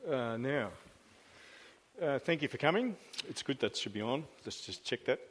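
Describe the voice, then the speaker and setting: A man's voice: medium pitch, lively intonation, normal speed, fairly bright tone. Pastor, 50s, male, easygoing banter, echoey church hall. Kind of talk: sermon with live interview.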